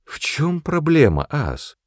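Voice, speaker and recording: A man's voice: a little high, wildly swooping pitch, slow pace, no echo, clean studio sound, voice pushed up, heavily acted. A man voicing a playful, self-assured woman adventurer in an audiobook, teasing and assertive. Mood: challenging.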